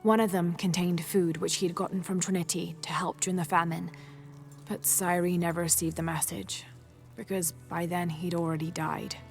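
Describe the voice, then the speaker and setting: Low-voiced, earnest young adventurer played by a woman. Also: little pitch movement, moderate pace, close to an everyday voice. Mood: solemn. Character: determined young female adventurer, earnest and troubled. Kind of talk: video game cutscene acting. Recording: game cutscene with music behind.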